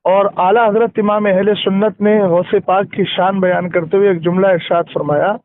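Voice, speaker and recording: A man with a high voice speaks as a religious scholar on a recorded phone call. He explains points step by step, recites verses and checks that his listener follows. The sound is thin, narrow and dry. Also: steady tone, normal speed, no echo. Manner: didactic, checks listener understands. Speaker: Islamic scholar (mufti).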